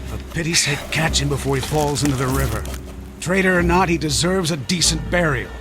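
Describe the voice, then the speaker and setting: An actor playing a stern, impatient army captain with a barking, commanding delivery in a radio drama. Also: male voice, a little high, a little fast, over music, natural voice.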